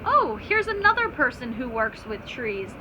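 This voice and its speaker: A woman's voice: on the high side, big pitch swings, unhurried. A woman teacher reading aloud to children, stopping to explain simply and put questions to her listeners. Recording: clear background noise.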